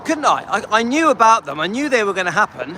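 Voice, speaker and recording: A man's voice: high-pitched, big pitch swings, fast talker, background noise. An English man live streaming on a dog walk, chatting with viewers and reading out their comments in a rambling, friendly way. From England.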